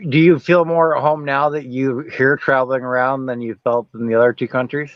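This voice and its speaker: A man's voice: mid-range voice, fairly even intonation, fairly quick, dry recording, dull audio. A man co-hosting a casual interview, talking in an easygoing, rambling, encouraging way.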